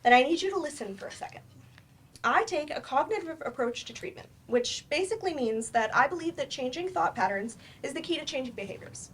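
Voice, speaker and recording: A woman's voice: high-pitched, expressive, a little fast, low-quality recording. A woman in a staged play reading, playing a firm, probing therapist with a direct, dry manner. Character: blunt, probing female therapist, patient but firm.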